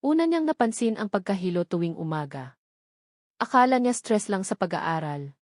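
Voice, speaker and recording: A woman with a Filipino accent narrating a scripted dramatic story as an audiobook, in a deliberate, emphatic way with short dramatic phrases. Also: medium pitch, sing-song, no echo, clean studio sound.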